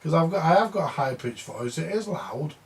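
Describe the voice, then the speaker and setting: An older man with a rough, gravelly voice sitting a touch high for his age. He rambles through a casual monologue, grumbling, circling back and repeating himself, with the odd swear word thrown in. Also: lively intonation, fairly quick, darkish tone. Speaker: vintage audio repairer.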